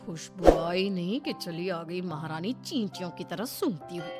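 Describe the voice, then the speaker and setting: A woman's voice: lowish voice, normal speed, over loud music, pitched below the performer's own voice, lightly acted. A woman voicing a grudging, snide young sister-in-law in a story, sarcastic and complaining.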